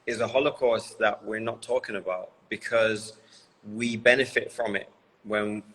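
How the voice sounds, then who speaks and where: A man's voice: on the low side, fairly even intonation, moderate pace, fairly bright tone, a little hiss or hum, poor audio. A man from the UK, a guest on a livestream, explaining a serious issue in an earnest way. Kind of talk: casual livestream conversation. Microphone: phone livestream.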